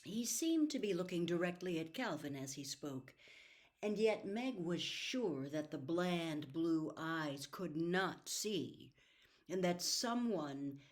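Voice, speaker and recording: A woman narrating an audiobook as dramatic scripted storytelling, expressive and deliberate in her delivery. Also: low voice.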